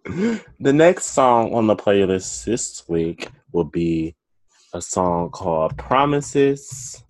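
Laid-back young man, low and dry. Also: very animated, slow pace, dry recording. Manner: laid-back, slangy, drawling. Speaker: podcast co-host. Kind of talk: casual chat.

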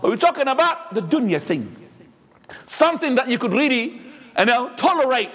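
A man, preaching a sermon as an imam, swinging between high and low. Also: very animated, normal speed, a bit bright. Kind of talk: Friday sermon. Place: mosque. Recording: echoey hall.

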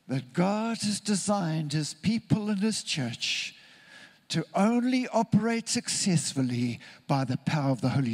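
A man from Zimbabwe, preaching a sermon in an earnest, deliberate way with emphatic repetition. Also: high voice.

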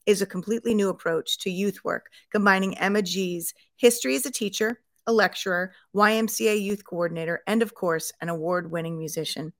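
A middle-aged woman hosts an interview podcast with an emphatic, personal delivery. Her voice has a medium pitch and is recorded very dry, with little room sound. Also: brisk, hardly any pauses, no echo. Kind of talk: podcast introduction and conversational interviewing.

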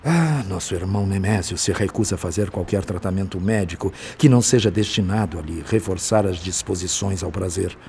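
An actor playing a compassionate, grave spirit mentor in a radio drama, husky and measured in his explanations. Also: sits low, a little mellow, a little hiss or hum. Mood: grave concern.

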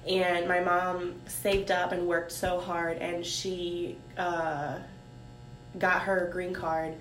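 A woman from Haiti telling her life story in a casual talk, thoughtful and pausing with many ums. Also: flat delivery, a bit bright. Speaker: guest sharing her story. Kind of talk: casual conversation. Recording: roomy with faint rumble.

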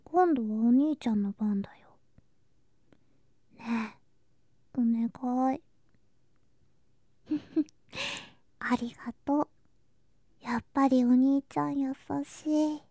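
A woman playing a sweet, clingy younger girl cousin in an ASMR voice drama, soft and breathy, often whispering, with a cutesy, affectionate manner. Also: sits high, takes it slowly, long pauses, no echo, studio quality, heavily acted. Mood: coaxing, clingy. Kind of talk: Japanese ASMR situation voice drama. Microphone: close ASMR microphone.

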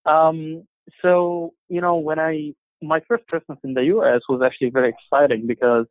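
A man's voice: fairly high pitch, steady tone, no room sound. Egyptian-born man on a tinny phone line. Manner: halting, many fillers and restarts. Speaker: interview guest. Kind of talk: casual conversation.

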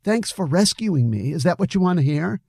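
A man's voice: sits high, animated, normal speed, vocal fry, darkish tone, slightly rough, no room sound, studio quality, voice pushed up, heavily acted. A man voicing an agitated, defensive young man on the edge of tears in an audiobook story. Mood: weary, evasive. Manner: evasive, emotional outbursts.

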